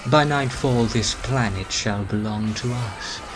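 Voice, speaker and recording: A man's voice: fairly low pitch, a little slow, natural voice. A man playing a humourless, menacing arch-villain in a sci-fi audio drama, with theatrical delivery. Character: menacing, humourless male time-travelling arch-villain, the Master. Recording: loud music under voice.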